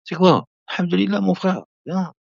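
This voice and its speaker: A man's voice: high voice, normal speed, vocal fry, slightly rough, dry recording. A man in his fifties hosting a casual live stream, chatting to viewers and calling them brother, rambling and laughing easily. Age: 50s. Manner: laughing often, relaxed rambling. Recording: dull lo-fi dry sound.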